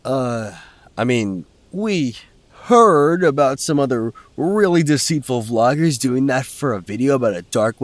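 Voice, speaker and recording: An actor playing a flippant, self-serving young male vlogger in a comedic horror audio drama, slangy, excitable and sarcastic. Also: mid-range voice, no echo.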